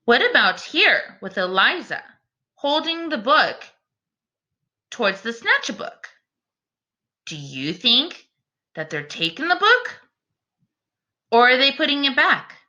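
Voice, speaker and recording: A woman teacher reads aloud to children. Her voice is fairly high and bright, with very animated intonation. She sounds encouraging and speaks directly to young listeners. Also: slow pace, pauses often. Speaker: teacher reading a picture book aloud. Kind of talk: expressive children's read-aloud with questions.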